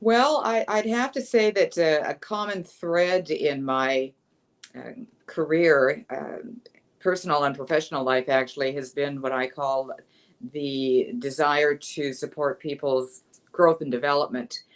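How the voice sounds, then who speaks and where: A woman's voice: low voice, steady tone, a little slow. A woman in her sixties, a guest author in an interview, speaking thoughtfully and hesitantly with many fillers. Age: 60s. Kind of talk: interview answers.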